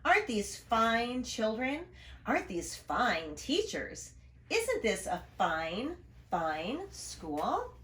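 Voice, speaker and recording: A woman's voice: a little high, wildly swooping pitch, a bit bright, heavily acted. Woman playing a proud, emphatic school principal. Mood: proud, admiring. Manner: declamatory, drawn-out emphatic words. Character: proud, overeager male school principal making grand announcements. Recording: roomy, echoing room sound.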